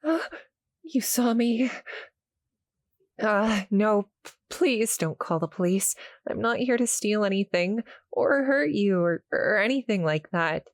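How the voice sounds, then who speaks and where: A woman voicing a small, shy, friendly cuddle-monster girl in an audio roleplay, halting and apologetic as she speaks to the listener. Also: medium pitch, lively intonation, dry recording, studio quality. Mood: nervous, pleading. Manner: nervous, halting, stammering, soft-spoken explaining.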